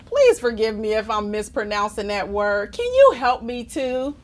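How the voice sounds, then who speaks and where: A woman reading a children's picture book aloud expressively, engaging listeners with questions and asides in an animated manner. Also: a little high, normal speed. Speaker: storytime reader.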